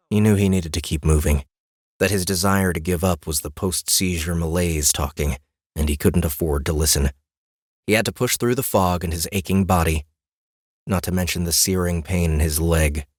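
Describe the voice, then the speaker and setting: A man narrating a scripted fantasy audiobook in an expressive, deliberate storytelling manner. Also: deep voice, no echo, clean studio sound. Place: dry studio.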